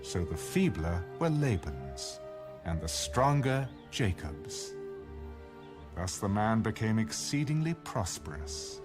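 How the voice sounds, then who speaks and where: Man narrating scripture, kinda low voice, really slow, dramatic, music behind him. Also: very animated. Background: background music.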